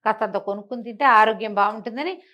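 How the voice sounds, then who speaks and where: A woman's voice: medium pitch, fast, lo-fi sound, lightly acted. A woman storyteller voicing an older male pilgrim who nags and advises in a familiar, well-meaning way. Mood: chiding advice.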